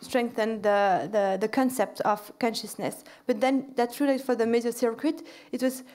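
A woman's voice: fairly high pitch, fairly even intonation, moderate pace, darkish tone. A woman lecturer answering audience questions at a podium in a large lecture hall, with many fillers and restarts.

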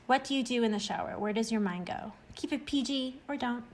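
A woman's voice: fairly high pitch, a little fast, natural voice. A woman playing a reassuring, encouraging, talkative friend in an acted short-story reading. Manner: encouraging, explanatory. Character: reassuring, talkative friend who overcame extreme anxiety.

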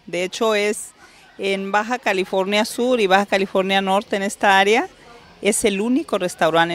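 A middle-aged woman restaurant owner giving a proud tour, explaining dishes into a handheld mic. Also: mid-range voice. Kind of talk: interview and guided tour. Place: restaurant, partly outdoors. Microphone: handheld microphone.

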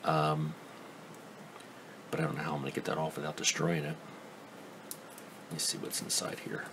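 A man with a remarkably deep, low voice talks through a tool review at his workbench. He rambles in a loose, skeptical way, trailing off and restarting with plenty of ums. A faint hum sits behind him. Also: flat delivery, moderate pace. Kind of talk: casual hands-on product review. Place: indoor workbench.

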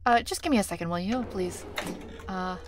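A woman's voice: medium pitch, moderate pace. A woman streamer, a native Korean speaker, chatting casually over a game and muttering numbers and checks to herself. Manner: chatty, thinks aloud, reads numbers under her breath. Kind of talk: casual gameplay commentary.

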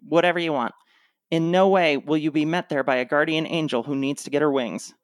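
A woman playing a sardonic, indifferent holiday hero with a deadpan manner in a comic radio play. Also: low-pitched, fairly quick, no room sound, studio quality, lightly acted. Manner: deadpan, sarcastic.

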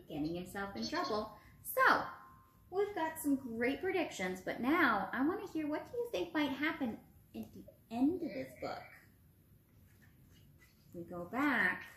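A woman's voice: a little high, a bit bright. A woman in her twenties, an encouraging teacher reading aloud and putting questions to young children. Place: small room at home, indoors. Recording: roomy home recording.

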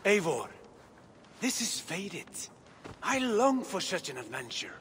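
An actor playing an eager Viking adventurer in a game, speaking in an earnest, rousing manner. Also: male voice, high voice, big pitch swings, takes it slowly, fairly bright tone, slight background noise, exaggerated voice. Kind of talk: video game cutscene acting.

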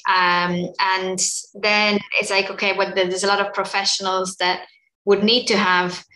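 A woman joining a panel by video call from a small room, speaking thoughtfully about education with halting restarts. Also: mid-range voice, little pitch movement, pushed and bright, roomy sound, rough recording. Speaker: education academic. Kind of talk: panel discussion. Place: small room at home, indoors. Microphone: laptop webcam on a video call.

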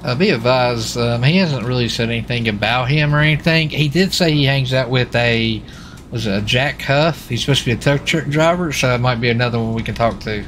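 A man with a medium-pitched voice improvises as a police officer in an online game. He questions people casually and haltingly, rambling a little. Faint ambient noise sits behind him. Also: fairly bright tone. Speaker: streamer playing a police marshal in roleplay.

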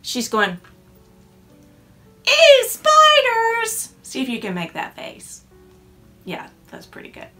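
A woman's voice: mid-range voice, normal speed, sharp tone, faint music behind. A woman in her forties reading her picture book aloud indoors to a camera, with coaxing asides to children. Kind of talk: children's story read-aloud. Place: decorated classroom-like room indoors.

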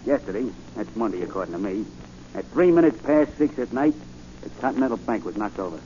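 Brash, slangy tough-guy drifter acted by a man, gruff and argumentative, 1940s radio play, dull hissy recording. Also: lowish voice, expressive, a little fast, warm tone, natural voice. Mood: wry, stubborn. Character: brash streetwise male drifter with uncanny foresight.

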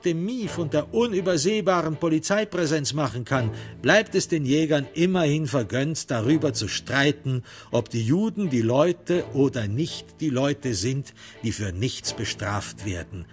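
Man narrating a crime radio play. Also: mid-range voice. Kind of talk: dramatic scripted literary narration.

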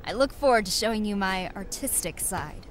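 Calm rookie wrestler in a game, woman playing her, composed, faint hiss. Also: medium pitch, moderate pace, close to an everyday voice.

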